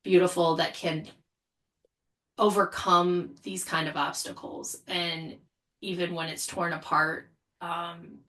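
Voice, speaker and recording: Woman, slightly low pitch, casual discussion, earnest, thinking aloud. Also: little pitch movement, slow pace, a bit bright. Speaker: podcast panel guest, writer.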